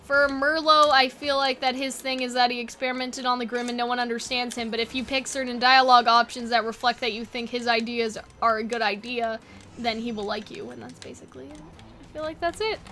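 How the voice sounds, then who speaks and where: A woman hosting a casual brainstorming chat, animated and rambling, with a playfully dismissive way of talking. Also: a little high, brisk. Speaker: video host.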